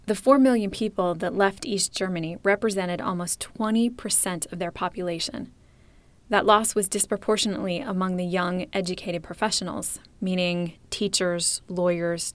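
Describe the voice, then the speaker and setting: A woman's voice: mid-range voice, no room sound. Woman narrating a history podcast. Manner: clear, measured storytelling. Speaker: podcast host. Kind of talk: scripted documentary narration.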